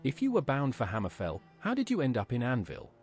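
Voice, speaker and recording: A helpful adventurer hero for a fantasy video game, in a medium pitch with very animated intonation. The lines are brief, plain and straightforward, with faint music underneath. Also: male voice, a little fast. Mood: earnest, helpful. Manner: plain, brief questions and statements. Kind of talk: AI-voiced video game dialogue.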